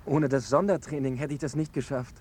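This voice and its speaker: An actor playing an earnest, lovestruck young footballer, speaking hesitantly in naturalistic radio drama dialogue. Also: male voice, mid-range voice, fairly even intonation, a little fast, a little mellow, a little hiss or hum, lightly acted. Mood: grateful. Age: young adult. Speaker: character in dialogue.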